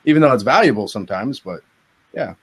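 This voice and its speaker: A man's voice: mid-range voice, animated, fast, husky. Earnest young man rambling reflectively. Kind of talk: casual group chat.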